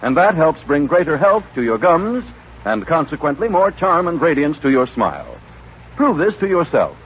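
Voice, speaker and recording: A man's voice: fairly high pitch, very animated, normal speed. An American man announcing in polished, earnest 1930s radio advertising style. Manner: polished, earnest sales delivery. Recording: tinny, noisy 1939 radio broadcast.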